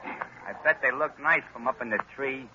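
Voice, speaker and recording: A man's voice: mid-range voice, normal speed, noisy background, lightly acted. An actor playing a dim henchman who talks in slangy, uneducated grammar, in 1940s radio acting on a lo-fi old broadcast. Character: nervous dim henchman man.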